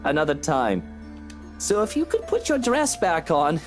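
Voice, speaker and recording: A man's voice: a little high, huge pitch swings, measured pace, soft background music. A man playing a charming, placating husband in a visual novel game, coaxing and playfully gallant. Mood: nervously amused. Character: charming, placating British husband, sweet-talking and evasive.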